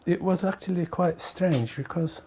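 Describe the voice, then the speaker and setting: A man's voice: high-pitched, moderate pace, warm tone. A middle-aged man from Algeria, not a native English speaker, chatting casually and hesitantly with repeated words. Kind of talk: casual conversational recollection. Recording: clearly noisy documentary location sound.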